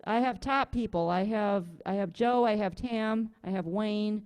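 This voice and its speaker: A woman's voice: mid-range voice, measured pace, a little mellow, clear, resonant tone. A woman with a Wisconsin accent hosting an online music class over a video call, chatting casually in a folksy, informal way. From Wisconsin, USA. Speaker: music class teacher and host.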